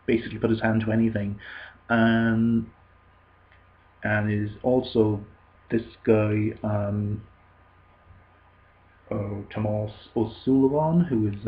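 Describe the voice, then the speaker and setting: Slow halting Cork Irishman. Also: fairly low pitch, slight background noise. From Ireland. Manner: halting, many ums and restarts. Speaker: vinyl collector vlogger. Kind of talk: casual show-and-tell. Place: small indoor room at home. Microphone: webcam at desk. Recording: dull lo-fi webcam audio.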